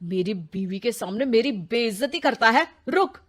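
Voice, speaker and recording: A woman voicing a quarrelsome elder brother and husband in a cartoon story, loud and easily provoked. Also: fairly high pitch, expressive, moderate pace. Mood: angry. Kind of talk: Hindi cartoon voice acting.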